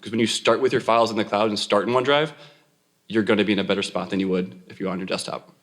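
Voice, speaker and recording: A man's voice: fairly low pitch, steady tone, rapid-fire, fairly bright tone, a little hiss or hum. An American man from Wisconsin talking conversationally as a panelist and IT consultant.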